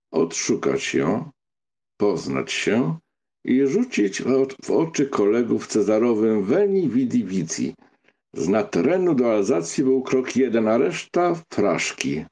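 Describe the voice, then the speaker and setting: A man narrating a literary audiobook from a script, with a gravelly, husky, mellow voice. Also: medium pitch, sing-song, measured pace.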